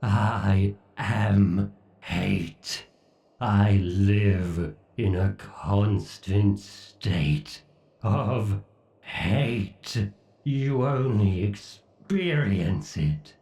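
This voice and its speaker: A man performs an obsessed, hateful machine god for a horror audio roleplay. The voice is dark and crackles with fry, drawing out each condescending phrase with theatrical menace. It rings with echo, as if set back from the listener. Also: on the low side, extremely slow, far from the mic, heavily acted. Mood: seething hatred. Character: obsessive, sadistic male AI god villain, gloating and hateful. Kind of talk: horror ASMR roleplay monologue.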